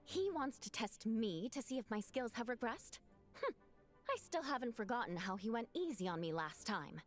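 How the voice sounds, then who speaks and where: A woman plays a proud, aloof knight captain from a video game. Her voice is fairly high and moves widely in pitch, with a cool, dry delivery, and game music plays faintly behind her. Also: lively intonation, normal speed, natural voice. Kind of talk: game voice acting.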